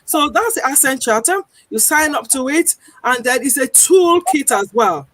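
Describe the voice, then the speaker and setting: A woman with a Nigerian accent speaks earnestly and deliberately, her voice pitched a little high. She pauses often to gather her words, and the recording is dry and close. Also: expressive, fairly bright tone, no room sound. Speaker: guest. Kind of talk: panel discussion.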